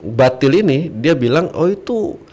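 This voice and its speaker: A man's voice: on the high side, sing-song, a little slow, darkish tone. A man from Bali, apparently middle-aged, talking as a podcast guest and preacher, telling stories with rhetorical questions. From Bali, Indonesia. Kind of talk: conversational religious podcast talk.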